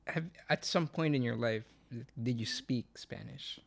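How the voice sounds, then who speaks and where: A man's voice: medium pitch, unhurried, gravelly. Chatty young man with a Filipino accent. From Philippines. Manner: rambling, chatty, jokey tangents. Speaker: podcast co-host. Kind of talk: casual chat.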